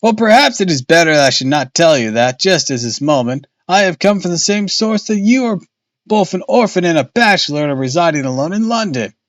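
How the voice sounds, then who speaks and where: A man voicing a thin, brisk colonel in a detective story, secretive, suspicious and insistent. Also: on the high side, lively intonation, no echo, close to an everyday voice. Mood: probing.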